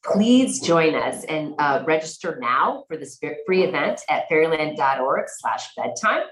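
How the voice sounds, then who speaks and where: A woman's voice: deep voice, very animated, measured pace. A woman speaking conversationally as an interview guest, representing her organization, with a roomy sound around her. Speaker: interview guest, executive director.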